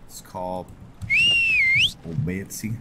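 Slow, deep-voiced man thinking aloud. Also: heavy background noise. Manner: low-key, thinking aloud. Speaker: gameplay host. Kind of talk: casual video game commentary. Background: music.

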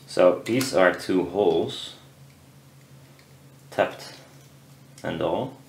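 A man giving casual, halting commentary while building something at a workbench, muttering as he thinks aloud. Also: low-pitched, creaky. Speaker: DIY maker. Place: indoor workshop at a cluttered workbench. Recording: roomy echo, loud workshop noise, clicks.

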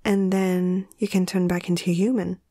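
A woman playing a caring young female veterinarian in a soft, hushed ASMR roleplay, speaking gently and a little hesitantly. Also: lowish voice, little pitch movement, normal speed, no echo, studio quality. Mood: curious, gentle.

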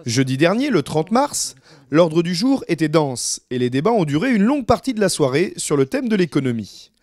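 A man's voice: fairly high pitch, very animated, moderate pace, darkish tone, no echo. A man reading scripted news narration as a reporter.

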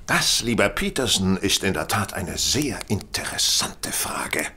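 An actor playing a shrewd, self-assured gentleman detective, speaking eloquently and courteously in an audio drama. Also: lowish voice, big pitch swings, unhurried, a little hiss or hum, exaggerated voice. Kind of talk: German audio drama acting.

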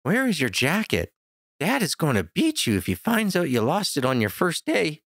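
A woman's voice: low voice, huge pitch swings, moderate pace, husky, no room sound, clean studio sound, exaggerated voice. A woman playing a cocky, teasing older brother, a farm boy in an audio drama.

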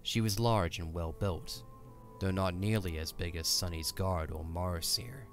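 Very deep-voiced male narrator. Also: a little slow. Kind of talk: scripted story narration, audio drama. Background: music.

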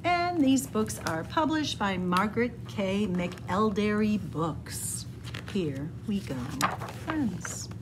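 A woman reading a picture book aloud in an animated, deliberate, sing-song storytelling style. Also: mid-range voice, noisy background. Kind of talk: expressive children's read-aloud storytelling. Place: indoors, room with bookshelves.

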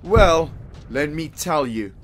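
A man's voice: fairly high pitch, expressive, slow, a little hiss or hum. A man playing a petty, self-righteous, whiny army private in an audio drama, fussy and indignant. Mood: defensive. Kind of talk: radio drama acting.

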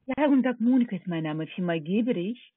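A woman's voice: mid-range voice, animated, a little fast, breathy edge, dry recording. A woman making a scripted call as a telephone sales agent. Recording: dry, tinny phone line.

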